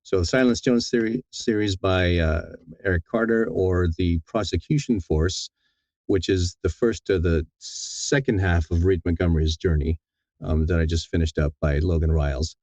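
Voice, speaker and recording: A man's voice: deep voice, normal speed, darkish tone, no room sound. A man talking casually as an interviewed audiobook narrator, rambling and correcting himself with enthusiasm.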